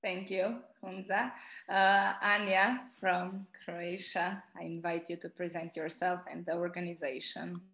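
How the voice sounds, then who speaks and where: A woman moderating a conference roundtable, politely introducing each speaker with frequent filler pauses. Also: on the low side, little pitch movement, fairly bright tone, echoey room. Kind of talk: formal introductions at a conference panel.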